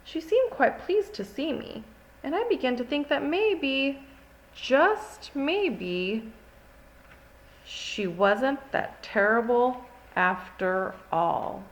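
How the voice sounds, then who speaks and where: A woman's voice: high voice, lively intonation, extremely slow, exaggerated voice, rough recording. A woman voicing a haughty, indignant small furry forest creature in a storybook, telling its tale with dramatic grievance. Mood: wistful, softening.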